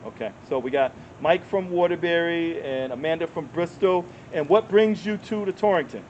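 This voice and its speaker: A man's voice: a little high, moderate pace, darkish tone, lo-fi sound, slight background noise. A man hosting a street interview in a park, who repeats answers back and encourages his guests. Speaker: interviewer and channel host. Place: outdoors in a public park.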